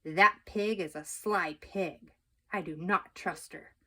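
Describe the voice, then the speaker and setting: A woman's voice: on the low side, slow, bright, forceful tone, heavily acted. A woman voicing a stern, disapproving, fussy older spinster with a pompous, formal way of talking, for a children's storybook. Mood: indignant.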